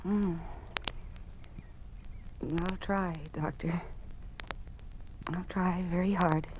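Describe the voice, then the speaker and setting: An actress playing a naive, earnest new teacher in a 1950s radio drama, with an American accent and a hesitant manner. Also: female voice, fairly low pitch, steady tone, drawn-out words. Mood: subdued, earnest. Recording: noisy vintage 1950s radio recording.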